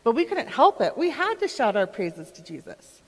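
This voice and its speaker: Excited woman of ancient Bethany, played by a church performer, fairly high voice, storytelling straight to listeners in a reverberant hall. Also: moderate pace. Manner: storytelling, addressing listeners directly. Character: excited woman of Bethany, Lazarus's sister, witnessing Jesus. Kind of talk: dramatic monologue in a church performance.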